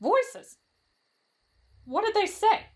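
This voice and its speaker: Woman voicing an excitable, timid anime boy, swooping high. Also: drawn-out words, full character voice. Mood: curious, startled. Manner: dramatic, whiny, swinging between fretful and gushing. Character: timid, excitable, lovestruck teenage boy on a camping trip. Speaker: voice actor in roleplay audio. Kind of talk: anime-style ASMR roleplay drama.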